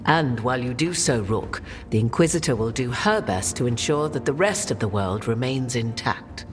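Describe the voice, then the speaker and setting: A woman's voice: extremely low pitch, expressive, moderate pace, soft background music. An actress playing a haughty, enigmatic witch in a video game, with formal, arch and theatrical diction. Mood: grave. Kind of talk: video game cutscene acting.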